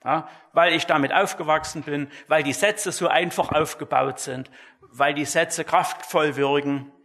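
Higher-voiced man with a creaky edge, teaching. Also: fairly even intonation, fairly bright tone. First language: German. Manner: deliberate, didactic, often adding "ja" as a tag. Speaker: church teacher giving a talk to his congregation. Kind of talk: explanatory church lecture on Bible translation.

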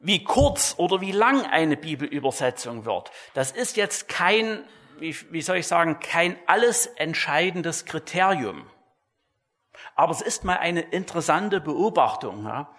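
Guy teaching at church, kinda high voice w lots of creak, careful and didactic. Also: lively intonation, fairly bright tone. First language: German. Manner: deliberate, didactic, often adding "ja" as a tag. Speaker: church teacher giving a talk to his congregation. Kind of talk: explanatory church lecture on Bible translation.

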